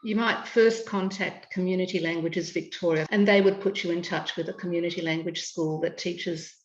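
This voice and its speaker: A woman in her sixties with an Australian accent, an educator explaining things in a measured, informative way over a video call from home. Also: on the low side, steady tone. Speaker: educator and curriculum consultant. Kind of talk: video call interview answers. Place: home room, indoors. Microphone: webcam on a video call. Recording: echoey room over a dull video call.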